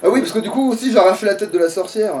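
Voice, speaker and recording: Guy doing a let's play, high voice, sweary and jokey, bit far from the mic. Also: very fast, a loud crowd. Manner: crude, swearing, joking. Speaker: let's player. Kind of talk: casual gaming commentary with a friend.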